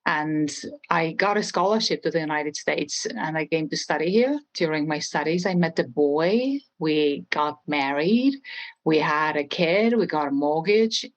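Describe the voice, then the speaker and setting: A woman's voice: low-pitched, dry recording, dull audio. A middle-aged woman from Estonia with an Estonian accent, talking conversationally as an interview guest. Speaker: interview guest, real estate trainer.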